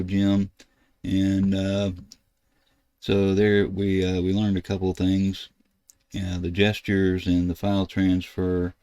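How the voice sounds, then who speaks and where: An older man, giving a casual, halting screen tutorial as a ham radio video host. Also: deep voice, creaky, a little mellow. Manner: halting, rambling explanation. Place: indoors at a computer desk.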